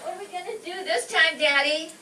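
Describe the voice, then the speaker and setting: A woman's voice: extremely high pitch, fairly quick, bright, forceful tone, full character voice. A woman playing an eager, blurting little girl in an old-time radio comedy on stage. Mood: matter-of-fact excitement. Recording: distant, echoey live stage with noise.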